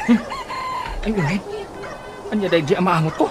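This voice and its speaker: An actor playing a grumbling, skeptical husband in an Ilocano radio comedy, full of grunts and drawn-out interjections. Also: male voice, sits high, music in the background, close to an everyday voice.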